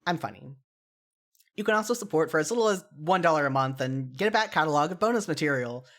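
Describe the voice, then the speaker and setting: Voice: medium pitch, expressive, quick pace, a bit bright. Podcast host, mid-range voice, solo commentary; earnest, opinionated, casually self-deprecating.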